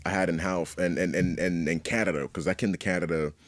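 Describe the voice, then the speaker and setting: A man from Haiti telling personal stories as an interview guest in a casual, candid, animated way, often checking in with "you know". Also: low voice, fast, a little hiss or hum. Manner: animated, repeats "you know what I mean". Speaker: podcast guest, artist.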